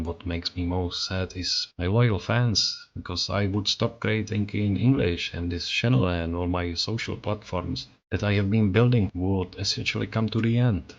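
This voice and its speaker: A man's voice: low voice, creaky, dull audio. A man with a Czech accent, whose first language is Czech, narrating a scripted voice-over as an artist in an earnest, reflective, self-critical way. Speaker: artist and channel creator.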